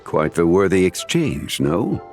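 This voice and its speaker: A composed, scholarly gentleman from a video game. The voice is very low, with richly varied intonation and formal, courteous phrasing. Faint music sits behind it. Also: very animated, unhurried, heavily acted. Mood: gracious, persuasive.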